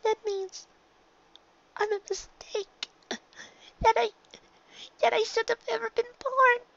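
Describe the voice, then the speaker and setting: A woman's voice: very high voice, fairly even intonation, slow, vocal fry, fairly bright tone, dull audio, pitched above the performer's own voice, heavily acted. A woman voicing an earnest, sweet little girl pony from a story, with a childlike, emphatic way of talking. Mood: tearful, hurt.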